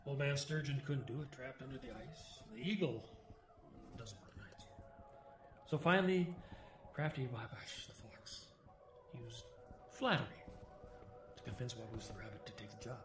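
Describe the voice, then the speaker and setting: A man's voice: mid-range voice, animated, normal speed, long pauses, a little hiss or hum, close to an everyday voice. Man acting a haunted older Native storyteller, Ovid. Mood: wry storytelling. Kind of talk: audio drama storytelling.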